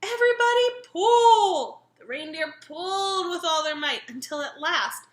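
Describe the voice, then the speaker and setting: Lady doing a kids read-aloud, really bright voice, bit echoey room. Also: sits high, moderate pace. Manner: expressive, engages listeners with questions. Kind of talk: animated children's picture book read-aloud with asides to young listeners.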